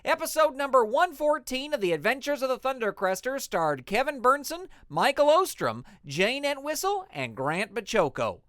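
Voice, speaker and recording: A man's voice: very high-pitched, sing-song, normal speed, hardly any pauses, fairly bright tone, dry recording, clean studio sound. A man narrating and announcing in a scripted, theatrical radio-serial style. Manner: theatrical, presentational.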